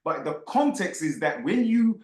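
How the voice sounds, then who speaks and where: High-pitched, animated man in an echoey room. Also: moderate pace. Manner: emphatic, insistent, repeats phrases for effect. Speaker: host of an online book club discussion. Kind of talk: animated live group discussion.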